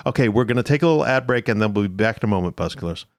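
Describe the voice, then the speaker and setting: A man's voice: lowish voice, animated, very fast, no echo. A man hosting a history podcast, asking questions and chatting conversationally with guests. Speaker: podcast host. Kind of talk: conversational interview on a history show.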